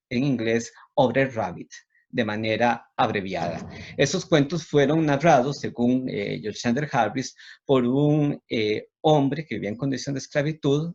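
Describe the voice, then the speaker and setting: A man's voice: mid-range voice, slightly rough, highs cut off. A Costa Rican man with a didactic manner, speaking as a university lecturer presenting on literature. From Costa Rica.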